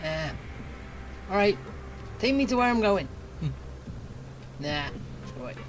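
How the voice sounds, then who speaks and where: Casting: female game streamer, unhurried, mid-pitched, offhand reactions, faint music behind her. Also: very animated, low-quality recording. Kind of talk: casual let's play commentary.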